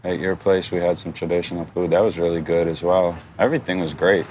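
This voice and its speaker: A man's voice: sits low, fairly even intonation, quick pace, darkish tone, a little hiss or hum, rough recording. A man co-hosting a podcast, chatting in an informal, agreeable way. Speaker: podcast co-host. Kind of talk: casual podcast chat.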